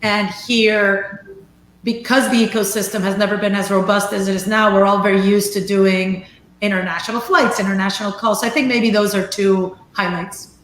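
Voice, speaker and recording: Woman panelist from Venezuela, measured and articulate, mid pitch, echoey room. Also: steady tone. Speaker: investor panelist. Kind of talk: panel discussion.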